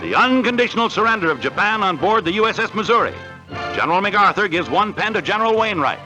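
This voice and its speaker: A man's voice: sits high, lively intonation, fast, poor audio. An American man narrating a 1940s newsreel in a dramatic, declamatory scripted style on a vintage soundtrack. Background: loud music.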